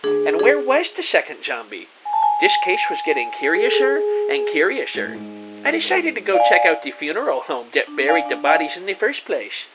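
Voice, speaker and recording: A man playing a self-important, excitable private investigator, narrating his case theatrically in an audio drama. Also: fairly high pitch, moderate pace, dull audio, loud music behind the voice. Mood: businesslike. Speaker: narrator and lead actor. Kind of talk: first-person detective audio drama narration.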